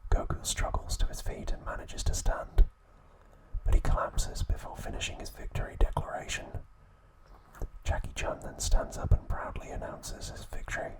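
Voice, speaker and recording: A man narrating an ASMR trivia recap in a breathy, hushed whisper, speaking in short, measured phrases. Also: rough recording. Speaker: ASMR host.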